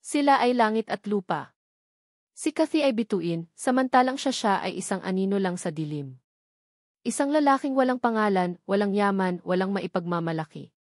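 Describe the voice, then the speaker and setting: A woman with a Filipino accent narrates a scripted drama in audiobook style. Her voice sits at a medium pitch for a woman. The sound is dry, with hardly any room in it. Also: expressive, normal speed, no echo.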